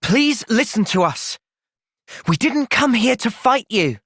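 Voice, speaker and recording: An actor playing a thoughtful, gentle but resolute young male soldier in a video game, with a British accent and a sincere, measured delivery. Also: extremely high pitch, very animated, dry recording, studio quality, heavily acted. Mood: resolute, impassioned. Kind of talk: video game cutscene voice acting.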